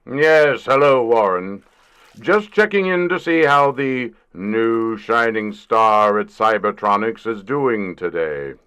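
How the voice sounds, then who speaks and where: Blustering, slow-paced, overbearing boss. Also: male voice, on the low side, lively intonation, fairly bright tone, clear, resonant tone. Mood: pompous, brisk. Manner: pompous, emphatic, deliberate. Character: blustering, overbearing, middle-aged male company boss. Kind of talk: comic audio drama acting.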